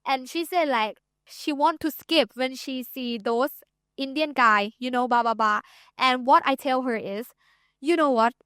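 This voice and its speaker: A woman's voice: sits high, measured pace, a bit bright, clear, resonant tone, no room sound. A young Thai woman with a Thai accent, a guest chatting casually and loosely, full of "like" and "you know". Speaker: guest, TikTok creator. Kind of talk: casual interview chat.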